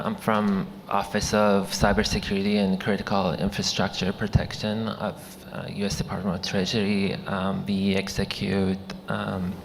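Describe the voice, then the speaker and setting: A man's voice: on the low side, creaky, a little hiss or hum. A man on a panel introducing his government role, hesitant with many fillers.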